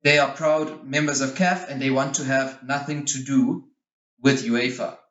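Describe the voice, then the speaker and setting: A man's voice: mid-range voice, steady tone, measured pace, a bit bright, roomy sound, dull audio. A man from Switzerland, a young adult, explaining things frankly as a guest in a conversational interview. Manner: frank, opinionated. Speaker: interview guest, youth football coach.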